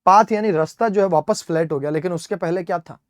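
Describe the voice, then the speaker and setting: A man's voice: high voice, quick pace, dry recording, clean studio sound. A man with an Indian accent teaching English vocabulary for an exam, spelling words out letter by letter and often checking in with "है ना?". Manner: explains step by step, spells words letter by letter, keeps asking "है ना?". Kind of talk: exam-prep vocabulary lesson.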